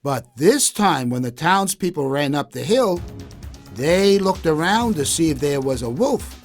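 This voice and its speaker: A man with a high-pitched voice narrates a children's story. His delivery is expressive and unhurried, with faint music underneath. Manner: deliberate, expressive. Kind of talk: scripted children's story narration for English learners.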